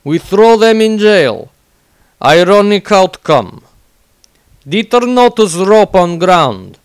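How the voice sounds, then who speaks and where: A man's voice: high voice, very animated, slow pace, smooth, dry recording, heavily acted. A man voicing a blunt, simple-minded barbarian warrior who tells a violent fantasy diary in clipped, broken grammar. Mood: blunt, amused. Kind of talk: in-character diary narration, fantasy audio story.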